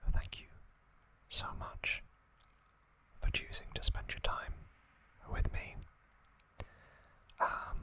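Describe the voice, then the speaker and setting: A man whispers an ASMR recap in a breathy, unvoiced voice. The delivery is very slow and gentle, with deliberate pauses between short phrases. The whisper has a crisp, bright edge. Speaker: ASMR channel host.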